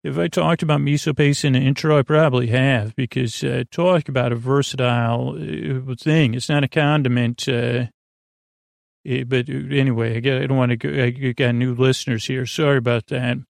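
A man hosting a sleep podcast with a creaky voice, rambling in a soothing, meandering, silly way and fumbling his words as he improvises. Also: medium pitch, steady tone, moderate pace, no room sound, studio quality. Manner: soothing, lulling. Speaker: podcast host.

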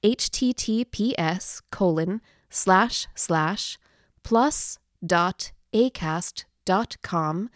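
A woman's voice: on the low side, no room sound, studio quality. A woman announcer reading a scripted promotional message for a publisher.

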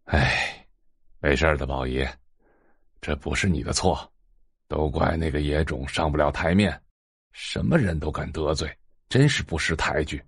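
An actor playing a harsh middle-aged father in an audio drama, gruff, contemptuous and domineering. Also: extremely low pitch, measured pace, pauses often, dry recording, studio quality, exaggerated voice. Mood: bitter resignation.

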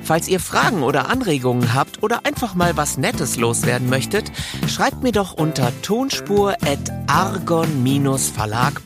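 A man hosting a podcast, addressing listeners directly in an informal, chatty scripted style. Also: mid-range voice. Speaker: podcast host.

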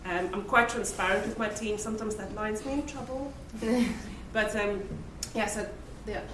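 A woman speaking on a live leadership panel, warm and reflective, with easy laughs. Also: medium pitch, fairly even intonation, moderate pace, noisy background. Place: event venue with live audience. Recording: roomy, distant, live-event ambience.

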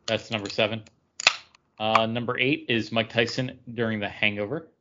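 A man's voice: fairly low pitch, fairly even intonation, moderate pace, muffled recording, very noisy. A man co-hosting a podcast, talking casually with friends. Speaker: podcast co-host. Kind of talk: casual chat, reading out a list.

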